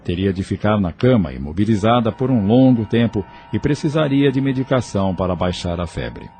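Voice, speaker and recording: A man's voice: on the low side, expressive, a little fast, mellow. A man narrating an audio novel in a scripted, dramatic storytelling style, with faint ambient noise.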